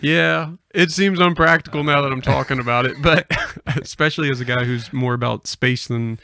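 A man's voice: mid-range voice, steady tone, normal speed, vocal fry, sharp tone. A young man hosting a podcast in casual conversation, speaking close to the microphone. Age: young adult. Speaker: podcast host. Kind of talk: casual chat. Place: small indoor room with bookshelves. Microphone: close podcast microphone on arm.

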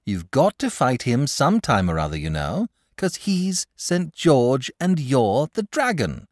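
A man voicing an eager, excitable young village boy in a storybook reading, pleading and high-pitched. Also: normal speed, dry recording, studio quality. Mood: excited.

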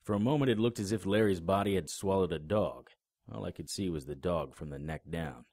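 Tough sardonic male private investigator, low-pitched, terse, radio drama, dry studio. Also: a little fast, a little mellow, dry recording. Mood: grim narration. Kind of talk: audio drama acting with noir narration.

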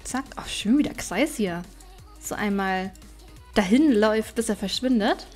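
A woman's voice: mid-range voice, lively intonation, measured pace, darkish tone. A woman gaming YouTuber giving casual, chatty let's play commentary, thinking aloud as she plays. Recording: music behind the voice.

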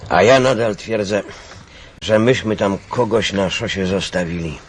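A man's voice: lowish voice, a little slow, slight background noise, natural voice. An actor playing an anxious, suspicious company chairman in a radio drama, insistent and argumentative. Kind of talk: naturalistic Polish radio drama acting.

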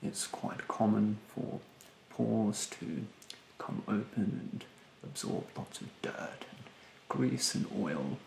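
A young man playing a rude, condescending facial therapist in an ASMR roleplay, speaking softly and breathily in a haughty, halting manner. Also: on the low side, animated, echoey room, slight background noise, heavily acted, rough recording. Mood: snide, dismissive. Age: young adult. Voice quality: soft, breathy, partly whispered. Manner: haughty, halting, drawn-out delivery. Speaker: ASMR performer playing a beautician. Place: bedroom, indoors.

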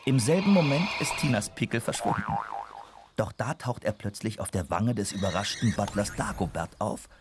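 Low-voiced male storybook narrator. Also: moderate pace, background noise. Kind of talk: scripted children's story narration.

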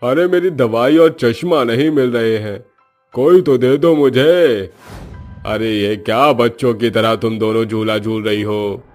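A man's voice: mid-range voice, a little slow, darkish tone, faint music behind, lightly acted. A man voicing a grumbling, puzzled elderly father-in-law in a cartoon, complaining. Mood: exasperated.

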